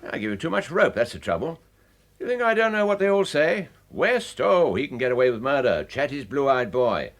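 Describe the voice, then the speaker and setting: An irascible senior police commander in a radio play. He is older, brusque and blustering. His middle-pitched voice rises and falls dramatically. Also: very animated, normal speed. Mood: blustering, exasperated. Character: gruff, irascible senior male police chief.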